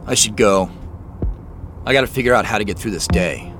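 A man's voice: lowish voice, brisk, music in the background, natural voice. An actor playing a troubled, guarded man in an audio drama, reflective and earnest as he talks. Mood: uneasy. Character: troubled, guarded man confiding in his sister and narrating. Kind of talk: audio drama acting and first-person narration.

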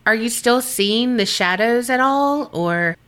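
A woman co-hosting a podcast in an easygoing way, reacting with quick laughs and reading listener letters in a steady voice. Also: a little high, clear, resonant tone. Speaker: podcast co-host. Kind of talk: casual chat and reading listener stories.

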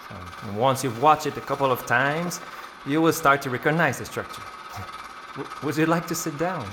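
An actor playing a museum staff member, talking hesitantly with fillers in an audio drama. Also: male voice, mid-range voice, normal speed, noisy background, natural voice. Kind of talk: scripted audio drama dialogue.

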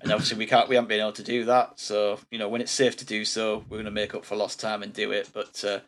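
A man leads a laid-back podcast conversation. His voice sits on the low side, and his rambling delivery is dotted with ums. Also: fast talker. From England. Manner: relaxed and rambling, with frequent ums. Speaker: podcast host. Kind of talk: casual podcast chat.

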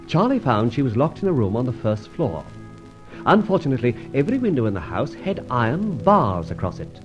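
A man acting a dashing, wry gentleman adventurer in an old radio drama, brisk and commanding. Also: mid-range voice, sing-song, lightly acted. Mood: wry storytelling. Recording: dull vintage radio recording, faint music.

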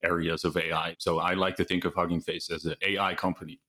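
A man with a Dutch accent, a research lead talking casually as a podcast guest, relaxed and thoughtful with small restarts. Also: deep voice, fast talker, husky. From Netherlands.

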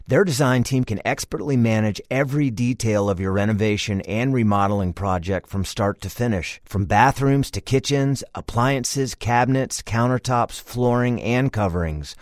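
A man delivers a scripted sponsor message as an announcer. His pitch is average for a man, and the recording is close and dry. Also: dry recording.

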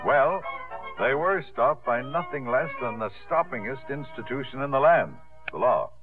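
An actor as a wry, genial storyteller narrating a scripted 1950s radio drama. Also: male voice, mid-range voice, very animated, moderate pace, music in the background, lightly acted. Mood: wry, dramatic. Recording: muffled old radio recording.

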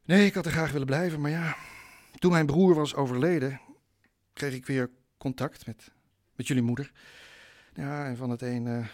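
A man's voice: mid-range voice, fairly even intonation, normal speed, a little mellow, close to an everyday voice. A man playing an eccentric, good-natured uncle back from abroad in a radio drama, rambling and hesitant. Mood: sheepish. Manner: hesitant, rambling, chuckling self-deprecation.

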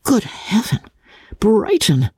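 Sensible, ironic young gentlewoman for a period novel, played by a woman. Mellow tone, expressive pitch. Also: slow pace, slightly rough, dry recording, full character voice. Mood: wry dismay.

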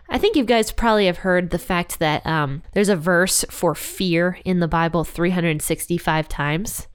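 A woman's voice: lowish voice, clean tone. A woman with a head cold, sounding congested, hosting a casual Bible devotional podcast and chatting directly to her listeners. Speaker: podcast host.

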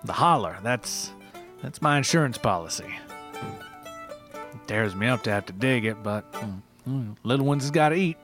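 Man acting a backwoods forager, Appalachian accent, folksy drawl, halting, aggrieved, sentimental, storytelling character, music behind. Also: medium pitch, huge pitch swings, fairly bright tone. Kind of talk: mock reality-show character in a narrated story.

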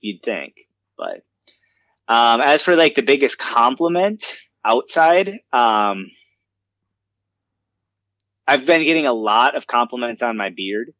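A man's voice: mid-range voice, big pitch swings, slow, long pauses, a bit bright, dry recording. A man co-hosting a comedy podcast, chatting casually and self-deprecatingly with many fillers. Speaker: podcast co-host. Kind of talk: casual chat.

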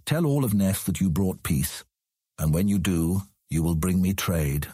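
Stern proud ruler, formal, commanding, husky voice, dry close sound, fantasy audio drama. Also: male voice, low-pitched, expressive, unhurried, mellow, no echo, full character voice. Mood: imperious. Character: proud, measured ruler of an outland city on his throne.

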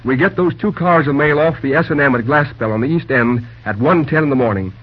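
Actor as husky railroad official, old radio. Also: male voice, fairly high pitch, a little fast, lightly acted. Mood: purposeful. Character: businesslike railroad official planning a risky run. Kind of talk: old-time radio drama acting. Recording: dull old radio recording.

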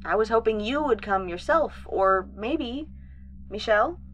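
A woman's voice: fairly high pitch, expressive, a little slow, slight background noise. A woman playing a testy, insistent moon base officer in an audio drama. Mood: formal, slightly nettled.

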